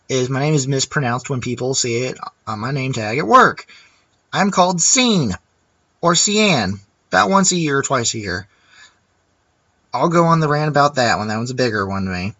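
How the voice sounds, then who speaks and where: A man's voice: medium pitch, sharp tone, no echo, highs cut off. A man vlogger ranting casually to camera, chatty and rambling with restarts.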